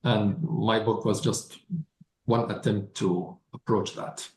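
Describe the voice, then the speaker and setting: A man speaking as a writer on a live panel, deliberate and thoughtful with frequent hesitant pauses. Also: lowish voice, little pitch movement, a little mellow. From Palestinian. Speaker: novelist, festival panelist. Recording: echoey live event room.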